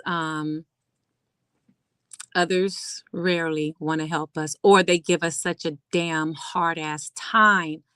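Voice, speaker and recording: A woman's voice: medium pitch, pushed and bright, no echo. A middle-aged woman, a clinical psychologist and host, talking casually and emphatically about mental health, laughing often.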